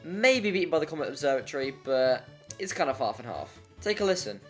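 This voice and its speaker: A man's voice: a little high, very animated, fairly quick, fairly bright tone. Wry man narrating over music. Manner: wry, jokey, given to exaggeration. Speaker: narrator of a video game review. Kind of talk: scripted video essay narration.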